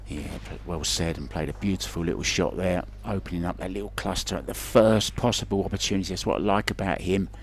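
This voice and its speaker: A man's voice: low-pitched, fairly even intonation, quick pace, hardly any pauses, slight background noise. A man doing chatty, self-deprecating live pool commentary in a conversational way. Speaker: sports commentator. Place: remote commentary from his own location.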